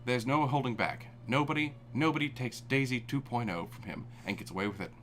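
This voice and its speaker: A man's voice: mid-range voice, fairly quick, a bit bright, slight background noise. A man reading fan fiction aloud for laughs on a live stream. He reads dramatically, then breaks off to laugh and swear. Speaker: fanfic reader. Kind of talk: comedic live fanfic reading.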